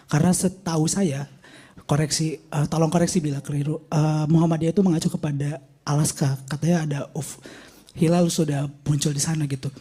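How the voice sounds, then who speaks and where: Husky, higher-pitched male reporter. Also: mellow. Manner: polite, tentative, self-correcting. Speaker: reporter asking questions. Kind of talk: press conference question.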